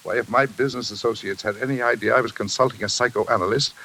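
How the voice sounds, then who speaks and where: An actor playing a self-important middle-aged railroad tycoon with a troubled conscience, brusque and emphatic in 1940s radio style. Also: male voice, medium pitch, fairly even intonation, quick pace, pushed and bright, poor audio. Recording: hissy old radio recording.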